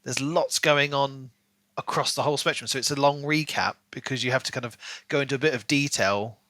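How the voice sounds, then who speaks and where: A man's voice: mid-range voice, a little fast, pushed and bright, no room sound. A man co-hosting a podcast, chattily discussing a book and peppering his speech with "like" and "you know". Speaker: podcast co-host.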